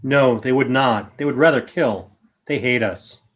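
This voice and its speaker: A polite alien speaking through a machine, played by a man in a radio drama. The male voice is mid-pitched, grave and formal, with measured phrasing. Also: dull audio, close to an everyday voice. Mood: grave, courteous. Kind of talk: audio drama radio play acting.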